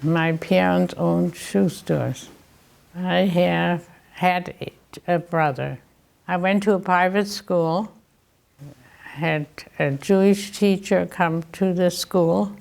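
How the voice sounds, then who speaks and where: Woman, 90s, from Germany. Unhurried, gravelly interview testimony. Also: on the low side, steady tone, a little mellow. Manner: slow, deliberate recollection. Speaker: Holocaust survivor interviewee. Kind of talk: recounting childhood memories. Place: indoor interview set with photo wall.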